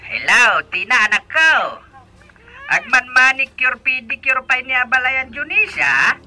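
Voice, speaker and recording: High, wildly swooping Ilocano husband. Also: male voice, very animated, unhurried, pushed and bright, full character voice. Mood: eager. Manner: exaggerated, drawling, prone to gasps and giggles. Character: vain, giggly, doting father and flirtatious husband. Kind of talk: comedic radio drama acting.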